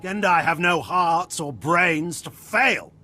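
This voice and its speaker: An actor playing a haughty, commanding imperial admiral in a video game, speaking formally and with authority. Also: male voice, on the high side, takes it slowly, bright, forceful tone, heavily acted. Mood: ruthless, taunting. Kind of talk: video game cutscene acting. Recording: game music behind the voice.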